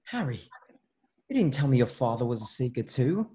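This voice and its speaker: A man plays a young schoolboy wizard in an online table read. He is eager and easily alarmed, with swooping pitch and a mellow tone. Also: big pitch swings, moderate pace, natural voice. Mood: encouraging.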